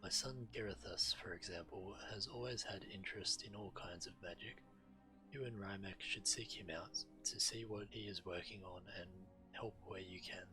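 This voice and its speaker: Low-voiced man reading quest text aloud. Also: normal speed, poor audio. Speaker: game streamer. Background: game music.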